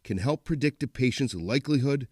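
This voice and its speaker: A male reporter narrates. He reads a scripted news piece at a middle pitch. The recording is close and dry, without echo. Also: expressive, brisk, darkish tone, breathy edge.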